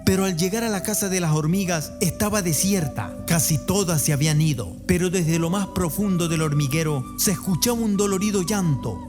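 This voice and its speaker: A man narrating a radio play myth in the manner of a storyteller. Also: sits high. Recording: music bed behind the voice. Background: music.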